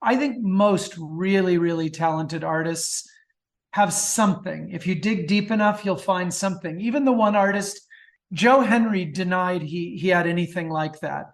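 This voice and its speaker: A man's voice: high-pitched, moderate pace. A man answering as a guest author in a conversational interview, often repeating words as he thinks aloud.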